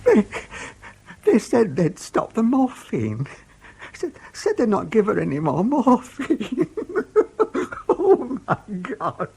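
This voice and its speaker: Cornered working-class brit bloke, husky, highish voice that jumps around, terse n defensive, radio play. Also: male voice, huge pitch swings, slow, a little mellow, full character voice. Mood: breaking down. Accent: British. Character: defensive, evasive working-class British man under interrogation. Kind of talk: radio drama acting.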